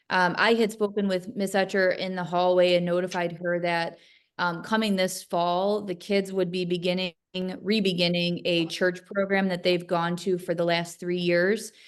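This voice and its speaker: A woman's voice: mid-range voice, clean tone. A middle-aged woman speaking as a lawyer, polite and formal, on a video call. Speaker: lawyer in a family court hearing. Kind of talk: polite formal courtroom talk. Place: bright indoor room with windows, on a video call.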